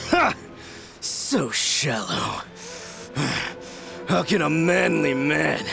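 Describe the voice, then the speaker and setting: A crazed game villager, very slow, gravelly delivery, swooping pitch. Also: male voice, heavily acted. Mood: boastful. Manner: bombastic, mocking, then pleading. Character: young man obsessed with manliness, possessed and unhinged. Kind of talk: video game voice acting.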